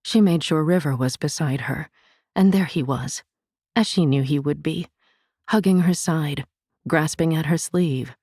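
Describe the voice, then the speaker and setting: A woman narrating a literary novel in a measured, vivid storytelling manner on a studio mic. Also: sits low, dark tone, breathy edge, no echo. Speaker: audiobook narrator.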